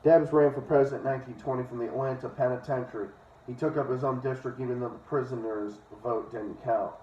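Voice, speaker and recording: A man with a mid-pitched voice reads aloud and adds blunt, opinionated remarks of his own. He sounds distant from the microphone in an echoey room, and the sound is somewhat dull. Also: fairly even intonation, fast, darkish tone, slight background noise. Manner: opinionated, profane asides. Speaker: online reader and commentator. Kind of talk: reading a book aloud with ranting commentary.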